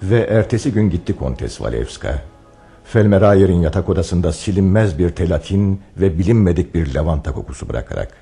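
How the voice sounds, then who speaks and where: Very low, mellow and dark, solemn storyteller, dramatic radio narration, expressive intonation. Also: male voice, a little fast, gravelly, soft background music. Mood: solemn, literary. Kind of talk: scripted radio play narration.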